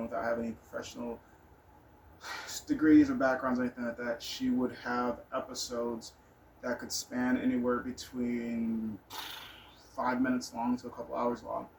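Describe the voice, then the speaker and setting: Man rambling candidly, noisy recording. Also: mid-range voice. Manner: blunt, candid, swearing. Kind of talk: casual personal storytelling, rambling monologue. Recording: noticeable background noise.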